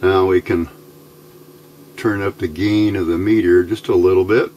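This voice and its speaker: A middle-aged man giving a casual hands-on tutorial, speaking in an unhurried, halting way as he thinks aloud. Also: low-pitched, fairly even intonation, darkish tone, dull audio, slight background noise. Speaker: electronics hobbyist host. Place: indoor workbench, hands filmed from overhead.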